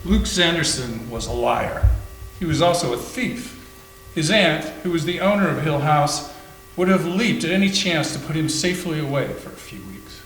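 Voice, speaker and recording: A man's voice: on the high side, lively intonation, normal speed, fairly bright tone. A man reading scripted story narration aloud for a readers theater. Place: indoor room, live library reading. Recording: echoey room with faint hum.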